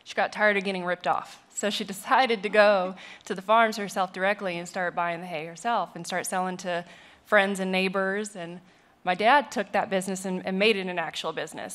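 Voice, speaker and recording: A woman's voice: medium pitch, a bit bright. A woman from Las Vegas, a business co-owner, talking in a friendly, chatty way at a panel table in a large hall. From Las Vegas, Nevada. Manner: friendly, chatty, laughs lightly. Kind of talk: personal remarks at a roundtable.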